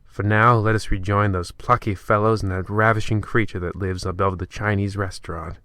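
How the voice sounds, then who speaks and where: Deep, sinister radio horror host. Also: male voice, fairly even intonation, moderate pace, bright, forceful tone, dry recording, studio quality. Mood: sly, macabre. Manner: theatrical, ornate, ominous. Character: creepy, gleefully macabre horror-show narrator, theatrical and sinister. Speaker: narrator and host of a radio play. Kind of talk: old-time radio horror parody narration.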